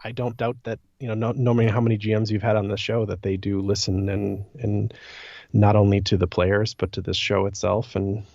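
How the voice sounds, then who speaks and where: A man talking informally on a podcast about a game, halting as he thinks out loud. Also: on the low side, level tone, gravelly, no room sound, dull audio. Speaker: podcast guest and co-discussant.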